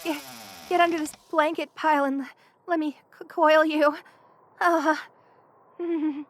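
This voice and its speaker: A woman voicing a young lamia best friend in an intimate ASMR roleplay, affectionate and hesitant, with a soft-hearted manner. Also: sits high, slow pace, dry recording. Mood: shivering, flustered.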